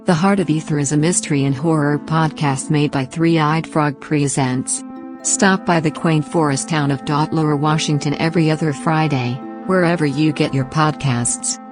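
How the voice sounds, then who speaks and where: A woman's voice: sits low, fairly even intonation, normal speed. A woman announcer reading a scripted podcast promo. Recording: music under the voice.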